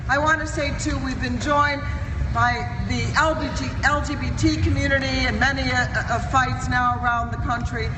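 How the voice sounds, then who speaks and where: A woman's voice: high-pitched, steady tone, moderate pace. A woman in Congress making political acknowledgements, listing groups and thanking them. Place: large legislative chamber. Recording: echoey hall from far off, noisy.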